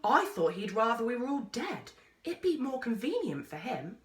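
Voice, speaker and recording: Woman reading as a prickly sceptical girl, animated. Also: on the high side, moderate pace, pitched above the performer's own voice. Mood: suspicious. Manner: sceptical, protesting. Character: prickly, sceptical young girl, sharp-tongued. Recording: roomy echo, distant microphone.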